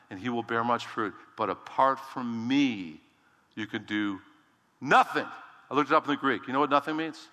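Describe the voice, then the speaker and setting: A man preaches in a loud, emphatic way, his bright and forceful voice dropping low and then leaping up. The sound rings with the long reverb of a church sanctuary. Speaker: pastor. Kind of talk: sermon.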